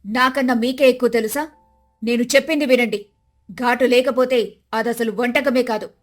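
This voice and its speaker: A woman's voice: on the high side, fairly even intonation, normal speed, faint music behind, natural voice. A woman voicing a proud, authoritative older mother-in-law in a dramatised moral story, haughty and commanding. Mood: haughty, angry.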